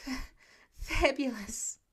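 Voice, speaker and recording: A woman performs an earnest teenage boy superhero in an audiobook story. He sounds high and eager, reassuring people with breathy urgency. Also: slow pace, a bit bright. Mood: winded, friendly.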